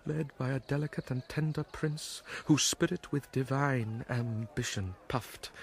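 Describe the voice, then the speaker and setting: An actor playing a brooding, anguished young prince in a Shakespearean radio drama, with theatrical, deliberate phrasing. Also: male voice, medium pitch.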